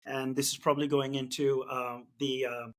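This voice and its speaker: A man's voice: mid-range voice, flat delivery, moderate pace, a bit bright. A man from Turkey, speaking English with a Turkish accent, hosting a casual business podcast and thinking aloud with many fillers and restarts. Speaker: podcast host.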